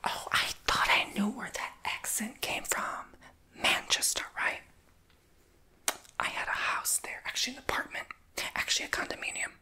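A woman in a whispered ASMR roleplay as a shoe shop clerk, breathy and chatty, with playful, slangy asides to her customer. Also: on the high side, moderate pace, bright, forceful tone, rough recording.

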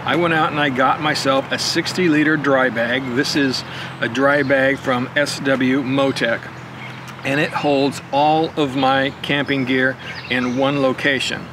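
A man's voice: mid-range voice, fairly even intonation, measured pace, noisy background. An older man demonstrating his camping gear in a backyard, talking in a casual, step-by-step way that keeps circling back with "again" and "right". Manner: conversational, explains step by step with frequent "again" and "right". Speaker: motorcycle vlogger. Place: backyard lawn outdoors.

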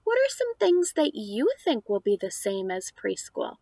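High, animated woman teaching young children. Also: a little slow, vocal fry, no echo, studio quality. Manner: exaggerated sing-song child-directed delivery with questions. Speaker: preschool teacher. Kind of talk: read-aloud for young children. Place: outdoors on a house porch.

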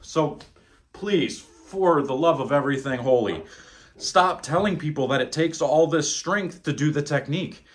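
A man's voice: on the high side, measured pace. A man in his thirties coaching bowling technique at home, talking bluntly and emphatically as he demonstrates. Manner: blunt, emphatic, exasperated at bad advice. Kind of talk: instructional vlog demonstration. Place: living room at home, indoors. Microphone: camera on tripod, close then several meters away. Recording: echoey room.

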